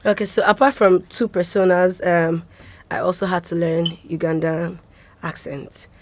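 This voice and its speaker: A young adult woman with a Nigerian accent, talking casually as an interview guest and telling stories in an animated way. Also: fairly low pitch, moderate pace.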